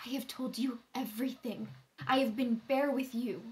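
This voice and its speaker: Reverby recording w music, highish young woman, acted, intense and needy, stage drama. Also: fairly even intonation, moderate pace, darkish tone, natural voice. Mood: insistent.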